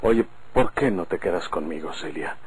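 A brooding bandit rancher in an old Mexican radio drama. His voice is lowish, blunt and sure of itself, with a Mexican accent and a little noise on the vintage recording. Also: lively intonation, moderate pace. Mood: courting, insistent. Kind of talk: golden-age Mexican radio drama acting.

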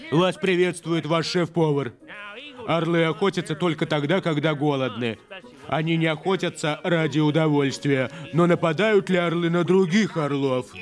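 A man's voice: a little high, normal speed. A man voicing a self-important eagle hero and narrator in a cartoon dub. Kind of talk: cartoon dubbing. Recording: music underneath.

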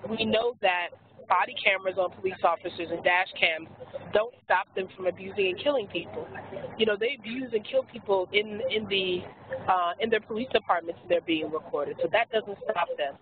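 A woman speaking as an activist on a webinar panel, hoarse from losing her voice, emphatic and earnest with frequent restarts. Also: mid-range voice, moderate pace. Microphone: telephone handset. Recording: tinny phone line with faint hiss.

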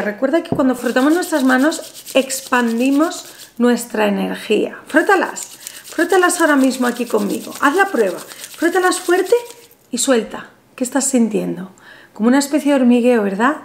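A woman in her thirties hosting a spiritual video, calmly guiding the viewer through a money ritual, seated at a table in a small bright room. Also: sits high, moderate pace, a little hiss or hum. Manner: soothing, instructive, addresses the viewer directly. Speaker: spiritual channel host. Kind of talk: guided money ritual tutorial. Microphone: camera in front, seated at a table.

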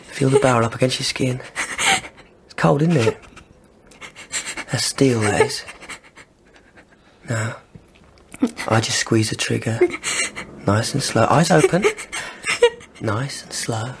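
An actor playing a taunting, cruel armed criminal in a radio crime drama, speaking slangy English. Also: male voice, medium pitch, slow pace, gravelly, long pauses, slight background noise. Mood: sadistic, menacing.

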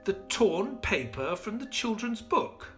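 A man's voice: high voice, sing-song, a little slow, fairly bright tone, faint music behind, full character voice. An actor playing a detective's loyal male sidekick in an audio drama, earnest, inquisitive and sceptical. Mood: questioning.

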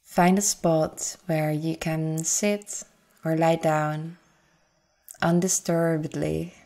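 A woman leading a guided meditation in a soothing, gentle, unhurried manner with long pauses. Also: low-pitched, little pitch movement. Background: forest nature sounds with birds.

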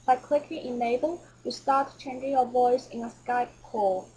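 A woman reading scripted narration for a software tutorial. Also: on the high side, steady tone, normal speed, a little hiss or hum, rough recording.

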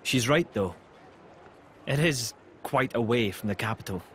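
A man voicing a composed, thoughtful warrior companion in a video game cutscene, speaking in a measured, formal way. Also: mid-range voice, animated, slight background noise, natural voice. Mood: calm.

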